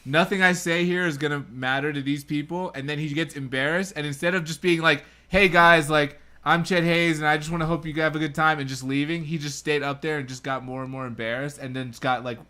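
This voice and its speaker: A man's voice: a little high, fairly even intonation, brisk, few pauses, sharp tone. A young man co-hosting a podcast livestream, rambling in casual banter with lots of fillers.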